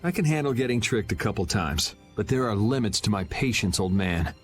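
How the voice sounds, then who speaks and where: An American actor playing a cool, wry, self-assured young hero in an anime game dub, speaking in a dry, sardonic way. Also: male voice, lowish voice, animated, brisk, faint music behind, natural voice. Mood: wry, determined.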